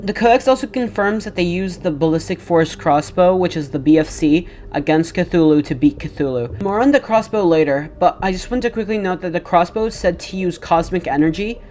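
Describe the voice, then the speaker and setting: Low-voiced woman with a scratchy post-cold throat rambling through game lore, lots of "like"s. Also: animated, fairly quick, hardly any pauses, a little mellow, soft background music. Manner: rambling, with many "like" fillers and self-corrections. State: throat rough from a recent cold. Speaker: game lore video narrator. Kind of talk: casual lore narration over gameplay.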